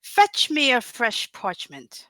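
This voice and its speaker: A woman's voice: a little high, wildly swooping pitch, slow pace, fairly bright tone, dry recording, full character voice. A woman playing a stern, shrewd fairy godmother with a cool, theatrical manner in a table read. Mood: commanding. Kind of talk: comedic screenplay table read.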